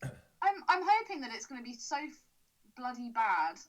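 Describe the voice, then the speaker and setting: A woman chatting casually as a podcast co-host. Her voice sits a little on the high side, and her manner is sarcastic and blunt, with plenty of swearing and laughter. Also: very animated, moderate pace, fairly bright tone. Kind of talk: casual chat.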